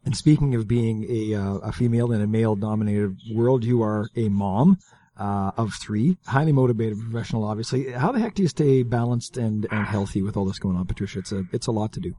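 A Canadian man with a fairly low voice works as a radio interviewer. His delivery is casual and chatty, in the conversational style of a local radio host. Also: brisk, warm tone.

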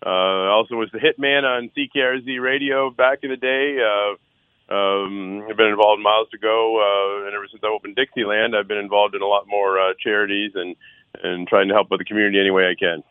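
A middle-aged man from Six Nations in Canada calls into a radio show as a council candidate. His voice sits low and comes through a thin, phone-like line with no room around it. He talks earnestly and loudly, filling gaps with uh and circling back on himself. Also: fairly even intonation, a little fast, smooth, no echo. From Six Nations, Ontario, Canada. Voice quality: loud voice. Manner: frequent uh fillers and restarts, earnest. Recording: dry tinny phone line.

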